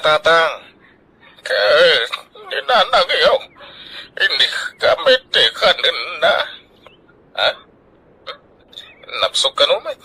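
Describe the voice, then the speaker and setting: Radio drama role: affectionate grown Ilocano son, solicitous, bright but husky, very animated. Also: male voice, fairly high pitch, full character voice, poor audio. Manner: emotional, solicitous. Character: devoted, affectionate grown son fussing over aging parents.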